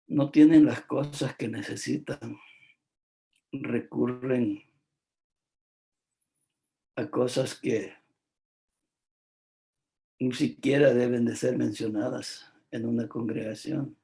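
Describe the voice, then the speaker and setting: Slow, gravelly preacher man. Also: medium pitch, steady tone, mellow. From El Salvador. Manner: slow, repetitive and emphatic, with frequent "este" fillers. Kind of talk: devotional sermon.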